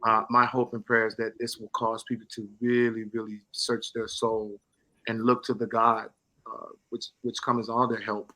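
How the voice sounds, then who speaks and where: A man's voice: on the low side, completely flat delivery, normal speed, pushed and bright, poor audio. A middle-aged man speaking earnestly and emphatically in a promotional interview, with a devout manner and repeated phrases. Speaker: stage play actor.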